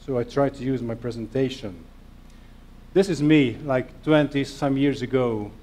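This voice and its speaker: A man's voice: medium pitch, dark tone. Hungarian-accented man giving a talk. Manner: earnest, self-deprecating, halting non-native phrasing. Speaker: conference speaker. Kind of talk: conference presentation.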